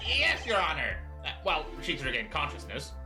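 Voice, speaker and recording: A woman's voice: sits low, wildly swooping pitch, moderate pace. A woman voicing a nervous male prosecutor who hesitates over his excuses, with faint music underneath. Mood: flustered.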